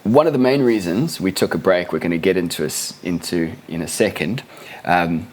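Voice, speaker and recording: A man hosting a casual podcast, husky and hoarse from a cold, chatty and laughing as he tells stories with many restarts. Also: deep voice, moderate pace, darkish tone, slight background noise. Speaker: podcast host.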